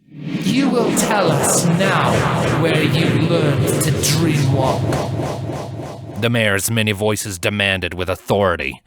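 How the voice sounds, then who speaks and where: Male audiobook narrator, dark fantasy: lowish register, unhurried and solemn, very dry close sound. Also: dry recording. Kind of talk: dramatic scripted fantasy story narration.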